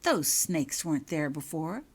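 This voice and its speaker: Storybook role: young witch pupil played by a woman, small-voiced, polite, earnest, very animated intonation. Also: sits low, a little slow, husky, heavily acted. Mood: puzzled.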